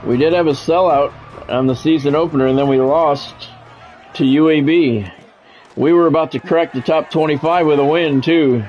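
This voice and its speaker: A man's voice: on the high side, steady tone, normal speed, darkish tone, faint music behind, rough recording. An American man doing football play-by-play on a gaming stream, mixed with casual chat. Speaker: gaming streamer calling play-by-play of own game; former radio sports play-by-play announcer.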